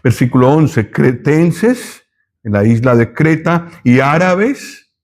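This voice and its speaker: A man from Colombia, giving a thoughtful Bible teaching with pauses and self-corrections. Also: a little high, expressive, darkish tone.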